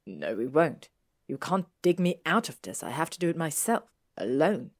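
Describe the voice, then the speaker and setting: A woman's voice: low voice, sing-song, normal speed, a little mellow, exaggerated voice. A woman playing an aloof, proud teenage boy wizard in a story, speaking in a curt, clipped way. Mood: strained, resigned.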